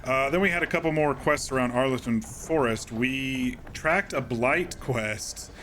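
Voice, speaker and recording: A man streaming a game, casually recapping events in a calm, rambling way with many fillers and restarts. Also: mid-range voice, unhurried.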